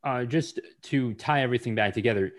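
A man's voice: mid-range voice, fairly quick. A man hosting a podcast interview, hesitant, with many fillers and restarts. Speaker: podcast host. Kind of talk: casual interview.

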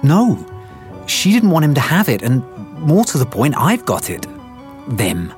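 An actor playing an uneasy, earnest research scientist with a hesitant way of talking, in an audio drama. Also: male voice, a little high, huge pitch swings, a little slow, faint music behind. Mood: shaken, earnest. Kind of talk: full-cast audio drama acting.

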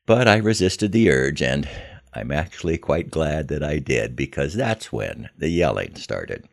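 Very deep, gravelly older American man. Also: expressive, unhurried. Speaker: podcast host telling his memoir. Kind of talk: scripted first-person storytelling.

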